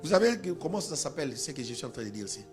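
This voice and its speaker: A man preaching with a Congolese French accent, his voice pitched high and swinging widely as he punches out short emphatic phrases. Soft music hums in the background of the church. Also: sing-song, racing. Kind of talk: sermon with live interpreter. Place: church in Kinshasa.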